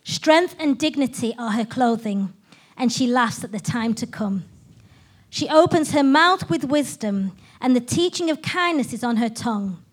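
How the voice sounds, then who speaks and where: A woman hosting a church study session, welcoming a guest speaker and then reading a Bible passage aloud in a slow, measured way. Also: on the high side, expressive.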